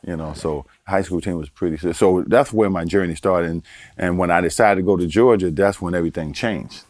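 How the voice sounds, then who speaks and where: Older man, very low voice, relaxed storyteller, dry close sound. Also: brisk, no room sound. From Baltimore, Maryland. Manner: relaxed storyteller, chuckles, repeats words for emphasis. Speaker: guest. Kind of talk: casual storytelling interview.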